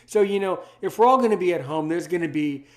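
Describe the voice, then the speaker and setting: A man with a slightly high voice hosting a podcast. He speaks casually and emphatically, circling back over words and phrases as he goes. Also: lively intonation, quick pace. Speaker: podcast host. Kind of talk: casual interview chat.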